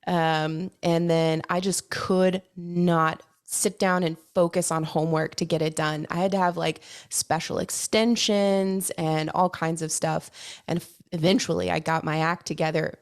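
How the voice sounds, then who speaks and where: A woman's voice: lowish voice, fairly even intonation, unhurried. A young woman hosting a podcast, talking in a casual, chatty way and often saying "like". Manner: casual, chatty, uses fillers like "like". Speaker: podcast host. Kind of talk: interview on a podcast.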